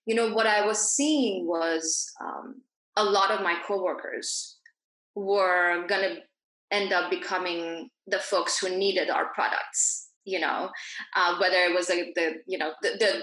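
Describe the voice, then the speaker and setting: A woman from Iran who grew up in Dubai, speaking reflectively and haltingly as an interview guest, often rephrasing herself. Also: fairly low pitch, fairly even intonation, fairly bright tone. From born in Iran, grew up in Dubai. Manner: halting, frequent pauses and self-corrections. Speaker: podcast guest, naturopathic doctor. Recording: echoey room.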